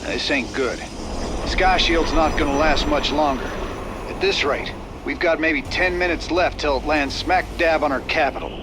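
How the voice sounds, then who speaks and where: An actor playing a gruff, weary middle-aged frontier commander with a folksy Southern American drawl in a video game. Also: male voice, fairly high pitch, normal speed, noisy background, natural voice. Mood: urgent, gruff. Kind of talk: video game cinematic and mission dialogue acting.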